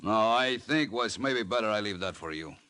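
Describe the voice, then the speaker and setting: Low, sharp-voiced foreign gangster. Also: male voice, lively intonation. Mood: cool, persuasive. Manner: broken English, unhurried. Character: cool foreign-born male gangster, calm go-between. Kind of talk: 1950s radio crime drama acting.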